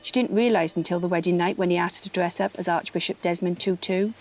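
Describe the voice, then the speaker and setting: A prim and rather dim female shop assistant speaks in a slightly low voice, acted by a woman for a comedy audio play. She is officious and matter-of-fact, with a suspicious, gossipy streak. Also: steady tone, fast talker, a little hiss or hum, close to an everyday voice. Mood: indignant, gossipy.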